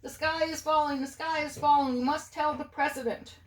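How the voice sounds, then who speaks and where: A woman's voice: high voice, steady tone, a little fast, reverberant, distant mic, pitched above the performer's own voice, close to an everyday voice. A woman voicing a frantic, dim-witted little hen from a storybook, crying out urgently and repeating herself. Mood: panicked. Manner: repetitive, urgent clucking cries.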